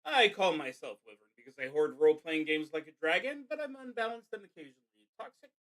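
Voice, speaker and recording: A man with a fairly high, bright voice introduces a live stream. His pitch moves around a great deal. He talks casually but theatrically, punching some phrases hard and letting others drop away. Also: expressive, fast. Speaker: live-stream host, solo roleplaying gamer.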